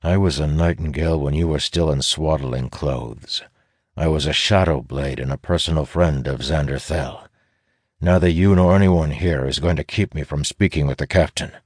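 A man's voice: very deep voice, moderate pace, dry recording, clean studio sound. A man voicing a stern, seasoned ranger in a fantasy audiobook, speaking firmly and tersely. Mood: stern, commanding. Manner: firm, terse. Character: stern, seasoned male ranger veteran, commanding. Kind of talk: audiobook fantasy character acting.

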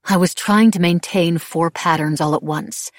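A defiant young witch heroine, voiced by a woman in an audiobook. She sounds earnest and guarded, with a husky voice and a very dry, close sound. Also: on the low side, moderate pace, no room sound. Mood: defensive. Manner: earnest, defensive.

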